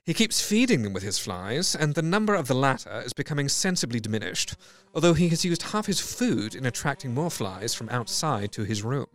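A studious, brooding asylum doctor, played by a man, records his case diary for an audio drama. He is formal and reflective, with a clinical turn. His mid-pitched voice moves up and down a great deal. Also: sing-song, brisk, a little hiss or hum, lightly acted. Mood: watchful curiosity.

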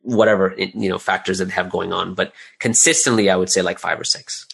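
Male, lower-pitched, conversational guest explaining things in a halting, think-aloud way. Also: steady tone, gravelly, a bit bright, slightly rough. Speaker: interview guest, audio drama creator and business owner. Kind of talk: casual conversational interview answers.

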